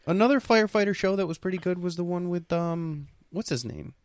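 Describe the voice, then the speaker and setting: A man's voice: sits high, a little fast, no echo. A man co-hosting a casual podcast, telling stories with frequent laughs. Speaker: podcast co-host. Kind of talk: casual chat.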